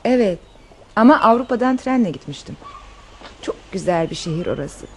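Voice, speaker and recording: An actress playing a wealthy, tender countess in love, in a radio drama. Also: female voice, medium pitch, animated, normal speed, darkish tone, slight background noise, natural voice. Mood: relieved, chatty. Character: wealthy countess fleeing revolution, tender and devoted lover.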